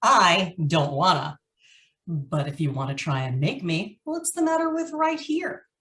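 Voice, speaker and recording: Defiant noir ex-con dame, played by a woman: sardonic, very animated pitch, off-mic in an echoey space. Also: a little slow. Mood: defiant, bitter. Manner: sardonic, challenging. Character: defiant, bitter, tough female ex-convict. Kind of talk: old-time radio noir acting. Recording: echoey stage, far from mic.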